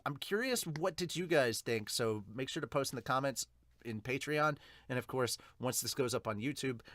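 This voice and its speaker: Man, medium pitch, casual reviewer, unscripted, rambling, sweary, dry room-free sound. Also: a bit bright, no room sound. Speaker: podcast host reviewing manga.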